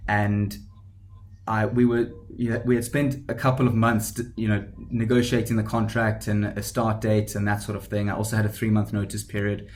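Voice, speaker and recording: A South African man in his thirties tells his career story as a podcast guest. His voice sits low, and he speaks in a level, monotone way, pausing often with ums and starting sentences over. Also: a little hiss or hum. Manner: hesitant, many ums and restarts. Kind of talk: conversational podcast interview.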